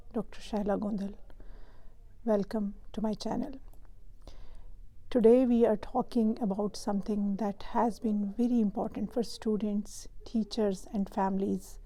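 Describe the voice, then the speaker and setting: A woman host from Pakistan, reading a prepared explainer in a measured, even way. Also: medium pitch, no room sound, poor audio. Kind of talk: scripted explainer narration.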